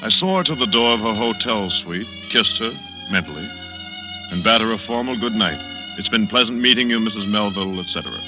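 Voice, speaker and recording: Actor as a suave radio thriller leading man, low-voiced, muffled. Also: a little fast, lightly acted. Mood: brooding narration. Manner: wry, flippant, polished. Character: urbane, smitten man narrating his own thriller story. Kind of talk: 1940s radio acting. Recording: muffled vintage radio drama with music.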